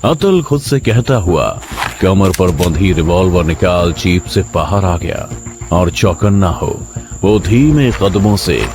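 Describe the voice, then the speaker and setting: A man's voice: sits low, animated, slow pace, background noise. A man narrating a scripted suspense story in a dramatic style.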